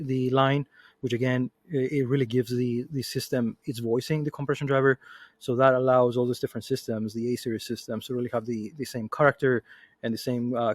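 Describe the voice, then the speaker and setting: A man giving a methodical, measured technical explanation as a product manager on a panel. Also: medium pitch, a little mellow, dry recording.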